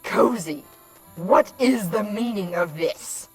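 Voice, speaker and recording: A woman's voice: medium pitch, takes it slowly, husky, faint music behind. A woman voicing a haughty, venomous monster queen in an indignant, imperious fan fiction reading. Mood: demanding, curt.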